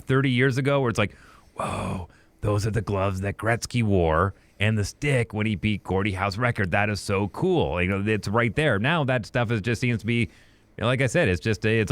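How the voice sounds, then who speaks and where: A man's voice: mid-range voice, no room sound. A man co-hosting a podcast, chatting casually and reminiscing in a rambling way. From Western Canada. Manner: rambling, reminiscing, quick asides. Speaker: podcast co-host. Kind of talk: casual sports chat. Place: apartment recording studio.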